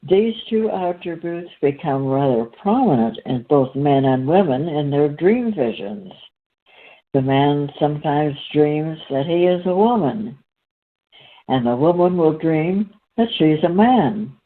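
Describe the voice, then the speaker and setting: A host reading aloud in a halting, deliberate manner, pausing between short phrases. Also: mid-range voice, lively intonation. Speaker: host and reader. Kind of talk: slow read-aloud and discussion. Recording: phone-like, narrow-band call audio.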